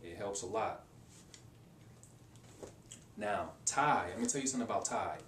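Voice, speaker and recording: A man's voice: lively intonation, unhurried, a bit bright, recorded from a distance. Casual young man, rambling, off-mic. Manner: rambling, with many restarts and fillers. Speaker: language-learning video maker. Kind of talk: casual advice vlog to camera. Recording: roomy echo, off-mic, faint rumble.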